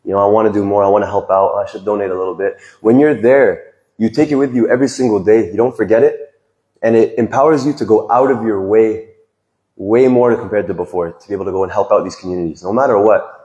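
A man's voice: on the low side, fairly even intonation, fast talker, dark tone, muffled recording. Earnest young man speaking in echoey hall. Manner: earnest, reflective, speaks from the heart with small restarts. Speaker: volunteer giving a farewell speech. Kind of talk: heartfelt speech to an audience. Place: large decorated hall with stage. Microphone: handheld microphone, recorded from the audience.

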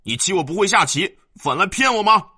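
A male storyteller voicing a rough, hot-tempered young boy who is brash and yells. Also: mid-range voice, huge pitch swings, a little fast, no room sound, studio quality, full character voice. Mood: enraged. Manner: brash, yelling.

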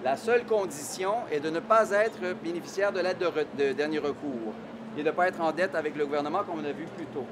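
A man's voice: a little high, a little fast, noisy background. A middle-aged man with a Québécois French accent giving a conference talk on a handheld mic, explaining steadily with frequent hesitations. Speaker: immigration consultant. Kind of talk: conference presentation. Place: large exhibition hall with audience. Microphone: handheld microphone.

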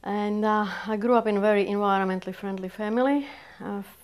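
A woman's voice: medium pitch, fairly even intonation, quick pace, smooth. A woman in her sixties from the Czech Republic, with a Czech accent, earnestly explaining her volunteer work in a sit-down interview in a small office with bookshelves. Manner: earnest, non-native phrasing, keen. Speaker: community volunteer.

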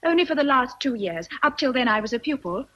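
Jane, a high-voiced young governess. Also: female voice, expressive, fast, a bit bright. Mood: calm. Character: composed, forthright young governess. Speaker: actor. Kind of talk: radio drama acting.

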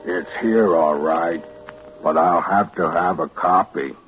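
A man playing a sinister, uncouth half-human with a rough voice, slow, ominous and insistent, in 1940s radio drama. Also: low voice, fairly bright tone, faint music behind. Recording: dull old radio recording.

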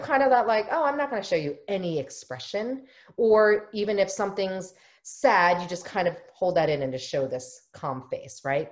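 A middle-aged woman who is a family therapist, giving an online workshop from a home office over a webcam, earnest and explanatory. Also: on the low side. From United States. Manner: earnest, explanatory, often acts out quoted voices. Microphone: webcam on a video call.

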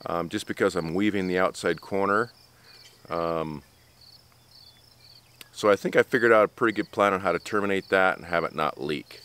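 A man's voice: deep voice, a bit bright, clean tone. A middle-aged man, plainspoken and practical, explains his building work outdoors to a camera close to his face, with the odd um and restart. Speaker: builder vlogger. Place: outdoors on an open building site. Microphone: camera close up at face height.

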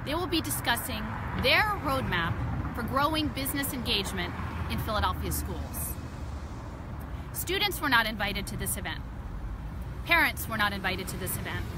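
Woman reading a protest statement outdoors. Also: high-pitched. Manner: earnest, measured reading from notes. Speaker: parent activist. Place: outdoors on a city street. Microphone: phone camera at arm's length. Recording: loud street traffic noise. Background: traffic and city noise.

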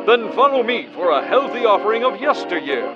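A man narrates a vintage radio promo like a salesman. His voice is high. Loud organ music plays behind, and the sound is dull and lo-fi. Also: big pitch swings, a little fast.

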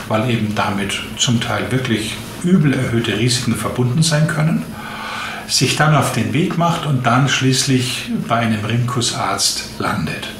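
A man in his late fifties giving measured explanations as a doctor being interviewed. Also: medium pitch. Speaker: interviewed physician. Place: small indoor room, seated at a desk. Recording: echoey room with clear background noise.

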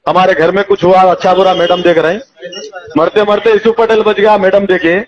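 A man's voice: high voice, little pitch movement, brisk. A man with a Hyderabadi accent arguing indignantly and insistently in a heated phone conversation, firing off rhetorical questions. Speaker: local party politician. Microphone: phone call. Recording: dull lo-fi recorded phone call.

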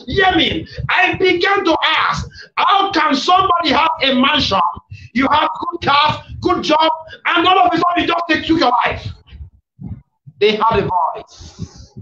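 Male preacher, very high strained pitch, fiery and repetitive shouted delivery, echoey room. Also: far from the mic. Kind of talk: fiery Pentecostal sermon with prayer declarations. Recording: echoey, dull, noisy room recording.

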